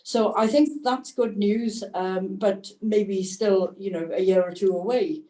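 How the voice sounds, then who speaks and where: A woman on a webinar panel, speaking in a measured, thoughtful way with pauses and fillers over a video call. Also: medium pitch, fairly even intonation, warm tone. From Wales. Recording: echoey room, distant mic.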